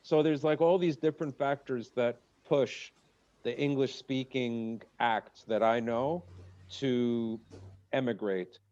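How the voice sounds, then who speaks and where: A man's voice: medium pitch, slow pace. Man chatting over muffled call audio. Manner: rambling, jokey, lively asides. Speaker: comedian guest. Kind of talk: casual podcast chat.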